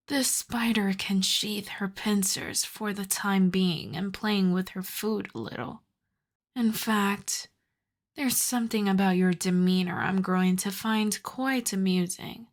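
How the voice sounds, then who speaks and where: A woman playing a seductive, possessive vampire countess in an ASMR roleplay, speaking softly and often slipping into whispers, with condescending tenderness. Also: mid-range voice. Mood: predatory, amused. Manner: slow, crooning, condescendingly tender. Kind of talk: ASMR roleplay, acted monologue.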